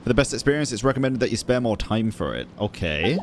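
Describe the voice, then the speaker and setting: A man's voice: mid-range voice, very animated, quick pace. Profane young man reacting to a game. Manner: loud, profane, joking, slangy. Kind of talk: casual reaction commentary. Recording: game audio and music in background.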